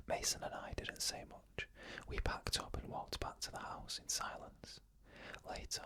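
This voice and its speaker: Bright, airy ASMR whisper narrator. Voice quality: fully unvoiced whisper. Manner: slow, even, hushed reading of first-person tales. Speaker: storyteller.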